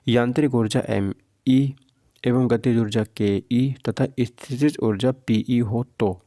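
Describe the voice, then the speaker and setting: A male teacher walks students through practice questions. His voice is relaxed and mellow at an average pitch, recorded very dry. He teaches informally, often asking a question and then answering it himself. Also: no room sound. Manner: informal, Hindi mixed with English terms. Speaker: physics teacher. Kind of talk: exam practice lesson, question and answer walkthrough.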